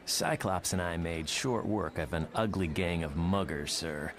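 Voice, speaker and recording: Man as big erudite hero, very deep, dry, articulate, picky, motion comic, faint background noise. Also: measured pace. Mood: smug.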